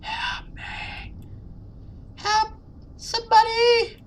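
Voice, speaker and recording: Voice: very high voice, monotone, very slow, a little hiss or hum, pitched above the performer's own voice, exaggerated voice. A video game player voicing a frightened little girl who pleads eerily for help. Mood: desperate, crying out.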